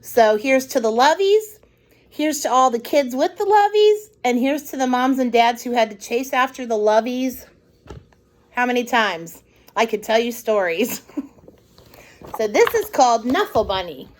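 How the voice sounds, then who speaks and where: A woman hosting storytime, reading a picture book aloud to young children in an expressive way with conversational asides. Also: on the high side, unhurried.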